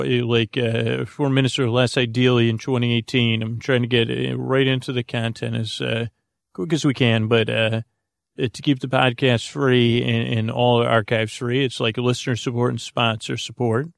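A man hosting a sleep podcast in a creaky voice, rambling through a soothing bedtime monologue in a meandering, halting, stammering way. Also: mid-range voice, no echo, clean studio sound. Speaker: podcast host.

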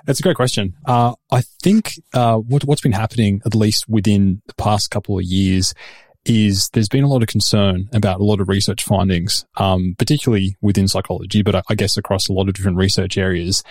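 An Australian man with a lowish voice explains his research steadily and plainly. His sound is dry and close, with no room around it. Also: steady tone, fairly quick, a little mellow, dry recording. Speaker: researcher and podcast host. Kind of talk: conversational interview answers.

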